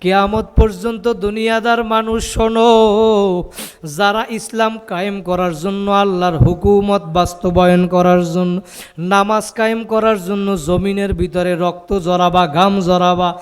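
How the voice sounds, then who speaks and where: High-voiced Bangladeshi preacher in heavy reverb. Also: male voice, steady tone, smooth, faint music behind. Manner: drawn-out chanting calls and rhetorical questions to listeners. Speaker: Islamic preacher. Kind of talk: impassioned sermon to a gathering, with chanted storytelling. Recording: long hall reverb, public-address sound.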